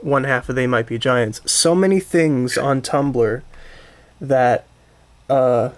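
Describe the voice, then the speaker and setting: A man hosting a casual podcast conversation, talking haltingly and circling back to rephrase with keen interest. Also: mid-range voice. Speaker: podcast host.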